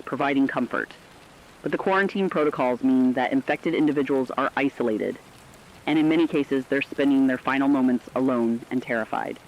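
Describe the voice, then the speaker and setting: A man's voice: mid-range voice, lo-fi sound, natural voice. A man playing a concerned, compassionate radio co-host, giving scripted commentary in an earnest, emotive way.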